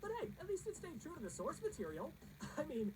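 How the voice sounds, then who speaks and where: A woman's voice: sits high, big pitch swings, a little fast, a little hiss or hum, rough recording. A woman reading scripted comedic countdown narration in a sarcastic, snarky manner.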